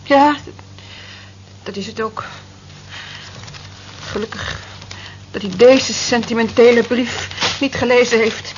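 A weary, lovelorn cleaning woman, bitterly resigned and given to short, dejected answers. Her voice sits fairly high. It is a radio drama on a muffled, clearly noisy old recording. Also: steady tone, slow, pauses often. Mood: hurt resignation.

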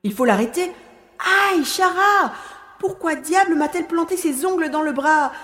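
A woman's voice: very high voice, expressive, normal speed, a bit bright. A woman playing an impulsive female agent on a stakeout, thinking aloud in an audio drama. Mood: urgent, impatient. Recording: echoey hall-like reverb.

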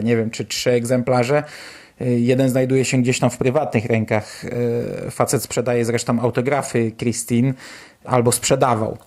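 A man's voice: mid-range voice, a little mellow. A man presenting news on a podcast in a colloquial, enthusiastic way, as its host. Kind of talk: casual news commentary on a podcast.

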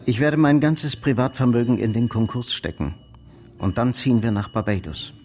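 An actor playing an ashamed, soft-hearted bankrupt son in a German radio play, halting and confessional. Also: male voice, medium pitch, lightly acted. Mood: subdued.

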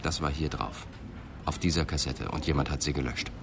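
An actor playing a calm, probing police inspector in a radio drama, measured and insistent. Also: male voice, rumbling low. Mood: musing, grave. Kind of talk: German radio drama acting. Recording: faint background noise.

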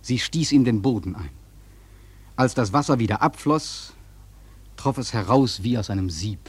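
A man acting a sailor narrator in a radio play, telling his tale in a grave, husky, solemn literary style. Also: mid-range voice, expressive, normal speed, no room sound. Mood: grave, ominous. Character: male sailor recounting a terrible storm, grave, awed.

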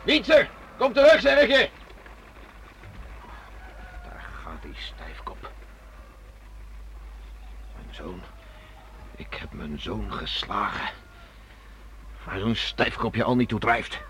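Bossy, gruff island father, sharp-voiced. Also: on the low side, huge pitch swings, slow pace, pauses often, full character voice, low-quality recording. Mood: angry, then regretful. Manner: gruff, commanding, impatient. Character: stern, bossy father of a teenage son, island beachcomber. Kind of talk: radio drama acting. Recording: faint background noise on old radio recording.

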